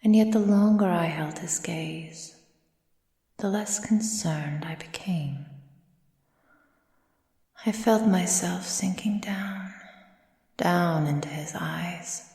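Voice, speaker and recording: Girl doing a vampire mistress for asmr, super slow breathy whispering, creaky, possessive and teasing, big echo. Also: on the low side, mellow. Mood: wistful, hypnotic. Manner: slow, deliberate, theatrical, teasing. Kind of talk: ASMR roleplay, intimate monologue. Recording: roomy sound with long reverb.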